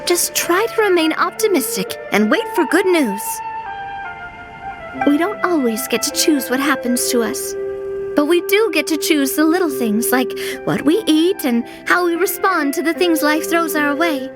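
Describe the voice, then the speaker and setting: A woman's voice: sits high, animated, normal speed, over music. An actress playing a relentlessly sunny young woman adventurer, with a chirpy, earnest, theatrical way of talking. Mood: earnest, encouraging.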